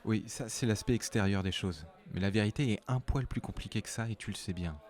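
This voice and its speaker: A man's voice: lowish voice, a little fast, darkish tone, natural voice. A man playing a blunt, authoritative military captain who threatens in colloquial speech, in acted drama dialogue. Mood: firm, threatening. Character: strong, weary military captain of noble authority, pressuring an informant.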